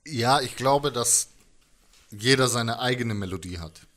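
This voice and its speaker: A middle-aged man telling a personal story as an interview guest, thoughtful and halting, in colloquial words. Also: mid-range voice, a bit bright. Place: indoors, living room with instruments. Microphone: handheld microphone held close.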